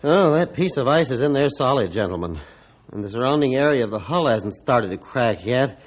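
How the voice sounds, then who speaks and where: A man's voice: medium pitch, animated, lightly acted. Man playing a grave, muffled-sounding ship's captain. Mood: solemn. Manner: formal, measured, solemn. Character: grave, resolute, God-fearing male ship's captain. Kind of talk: 1950s radio adventure acting. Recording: muffled old radio recording.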